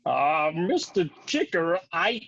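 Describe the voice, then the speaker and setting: Woman voicing a husky, dutiful bird troop leader. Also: lowish voice, sing-song, slow, dull audio, exaggerated voice. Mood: anxious, accommodating.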